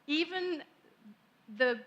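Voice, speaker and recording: Slow bright-voiced woman minister. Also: high voice, muffled recording. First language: German. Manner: gentle, reflective, unhurried with long pauses. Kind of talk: sermon. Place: church sanctuary.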